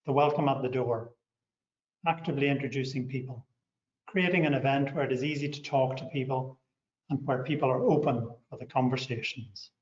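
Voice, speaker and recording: A middle-aged man with a Northern Irish accent, a company director giving a measured, scripted overview of his organisation. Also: mid-range voice, darkish tone. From Northern Ireland. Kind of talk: scripted promotional talk. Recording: echoey, muffled room sound.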